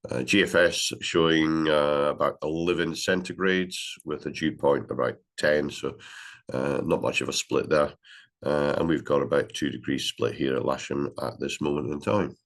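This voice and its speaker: A man with a cold, congested and halting with many fillers, presenting a daily weather briefing at a gliding club. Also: a bass voice, steady tone, fairly bright tone.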